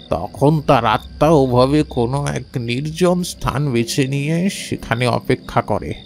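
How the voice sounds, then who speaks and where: A gravelly, unhurried voice: a man acting a sorrowful village father in a horror radio play. He warns ominously, repeating his phrases, with faint music behind. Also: mid-range voice. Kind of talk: Bengali horror audio drama acting.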